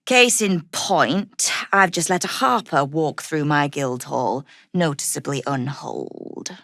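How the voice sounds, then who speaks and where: A woman's voice: low-pitched, animated, slow, fairly bright tone, dry recording, studio quality, full character voice. An actress playing a tough, sardonic woman who runs a crime guild in a video game, speaking in a wry, commanding, menacing way. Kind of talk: dramatic video game character dialogue.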